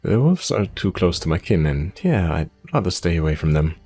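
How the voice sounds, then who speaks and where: Man playing a gravelly, roguish wandering adventurer. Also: low-pitched, very animated, brisk, a little mellow, faint music behind. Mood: wry. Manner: breezy, teasing, colloquial. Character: blunt, joking wandering adventurer, roguish and chummy.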